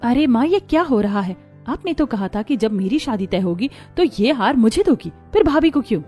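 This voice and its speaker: A woman's voice: a little high, sing-song, normal speed, mellow. A woman playing a sulky, grumbling young daughter in a family story drama. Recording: music behind the voice.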